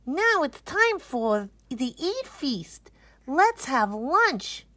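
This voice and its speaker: An excited, curious young child in a storybook as acted by a woman reader. The pitch sits high and swings widely. The delivery is bubbly and eager, full of exclamation. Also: extremely slow, dry recording, heavily acted. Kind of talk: children's picture book read-aloud.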